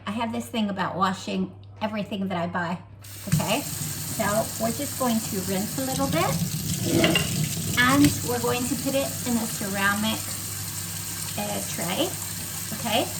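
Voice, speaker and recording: Chatty American woman with gravelly voice. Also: on the high side, heavy background noise. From United States. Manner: chatty, tags sentences with "okay?". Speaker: club activity host. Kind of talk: home cooking demonstration. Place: home kitchen.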